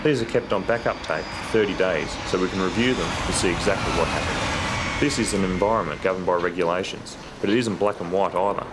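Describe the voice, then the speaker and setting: Deep-voiced Australian man, noisy background. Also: a little fast. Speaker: military air traffic controller. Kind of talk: career profile narration with radio phraseology.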